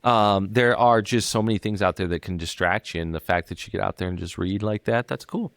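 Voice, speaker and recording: Young male podcast co-host, low pitch, dry recording, loose and rambling. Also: brisk, creaky, a bit bright, no room sound. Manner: rambling, swears casually, many fillers. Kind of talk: casual chat.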